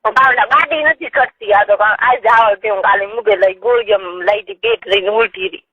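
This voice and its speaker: A woman's voice: mid-range voice, moderate pace, breathy edge. A woman with a Marwari accent talking casually on a private phone call, airing complaints as she recounts things. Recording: tinny, narrow phone-call recording.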